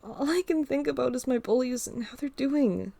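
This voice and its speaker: A woman's voice: sits high, animated, normal speed, vocal fry, mellow, no room sound, pitched above the performer's own voice, heavily acted. A woman reading a story, voicing a frightened teenage girl who stammers out apologies while crying. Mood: shaken. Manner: stammering, pleading.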